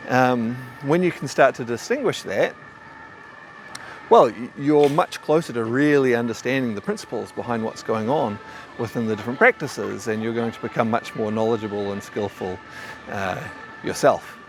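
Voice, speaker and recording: A man's voice: medium pitch, moderate pace, slight background noise. A man with a mild New Zealand accent, a qigong teacher talking casually and thoughtfully to camera, often stammering over restarts. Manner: thoughtful, rambling, frequent stammered restarts and fillers.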